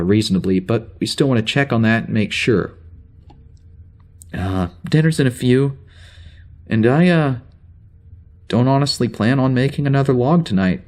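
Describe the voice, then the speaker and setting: A man acts out an anxious male security officer aboard a spaceship, talking into a personal log for an audio drama. His mid-pitched voice rambles and hesitates, correcting itself with dry, wry understatement. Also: a little mellow. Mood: weary, businesslike.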